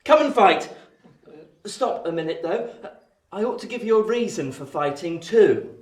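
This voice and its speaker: An actor playing a cocky but polite young gentleman, a boy in a Victorian radio play, formal and jaunty. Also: high-pitched, animated, a little slow. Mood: cocky, challenging. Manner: formal, jaunty, well-mannered. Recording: echoey room sound.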